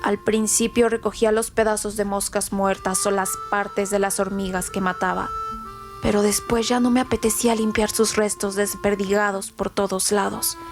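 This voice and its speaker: A woman's voice: medium pitch, level tone, normal speed, lightly acted. Radio drama part: a woman as a withdrawn, sadistic young boy, detached monologue, prominent music underneath. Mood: cold, detached. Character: cold, sadistic, withdrawn young boy telling his cruelties. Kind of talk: dramatic first-person radio play monologue.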